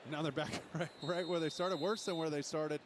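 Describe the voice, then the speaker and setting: A man's voice: a little high, steady tone, normal speed. A man giving colloquial, explanatory color commentary on a football broadcast. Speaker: color analyst on a game broadcast.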